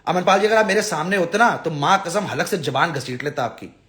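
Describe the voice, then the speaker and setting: A man's voice: on the high side, fairly quick, fairly bright tone. A man addresses followers on a live stream, blunt and exasperated, scolding and pleading with emphatic repetition. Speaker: visa advisor and live-stream host.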